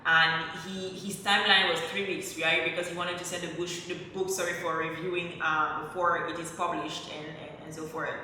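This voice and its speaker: A woman's voice: lowish voice, flat delivery, moderate pace, hardly any pauses, pushed and bright. A woman from Cameroon, a French speaker, tells a personal story to viewers in a conversational way, acting out her own thoughts. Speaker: online content creator. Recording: very echoey room, distant mic, faint noise.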